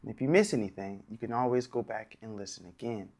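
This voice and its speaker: A man hosting a story time, addressing young children like a classroom teacher in clear, simple phrases. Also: fairly low pitch, fast talker, darkish tone. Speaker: children's read-aloud host, teacher.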